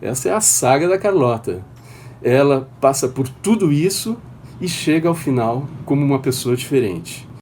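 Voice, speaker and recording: A man directing the production, calmly explaining how it was made. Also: mid-range voice, moderate pace, a little hiss or hum. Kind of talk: interview talk.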